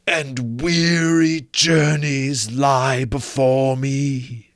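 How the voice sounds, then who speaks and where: A man voicing the mournful ghost of an old chained businessman, wailing his lines slowly in a gravelly voice in a storybook reading. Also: mid-range voice, fairly even intonation, fairly bright tone, no echo, studio quality. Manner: slow drawn-out wailing delivery.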